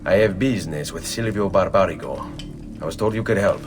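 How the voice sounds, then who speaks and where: An actor playing a resolute, courteous young Renaissance assassin with an Italian accent in a video game. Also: male voice, low voice, music in the background, natural voice. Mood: businesslike, determined. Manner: measured, courteous. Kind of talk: video game cutscene acting.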